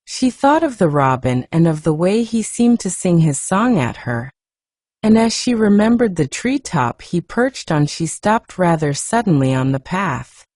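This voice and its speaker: Female audiobook reader: soft, hushed and gentle, slightly low, mellow tone, lively storytelling intonation. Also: measured pace, no echo, studio quality. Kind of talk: softly read storybook narration.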